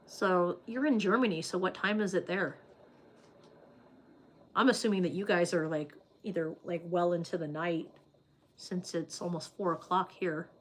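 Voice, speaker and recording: A woman chatting on a casual home livestream, reading viewer comments aloud as she goes. Her voice sits low, and she talks loosely, with lots of "like" and "um". She sounds as if she is speaking into a webcam setup. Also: steady tone, normal speed. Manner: chatty, loose, many fillers like "like" and "um".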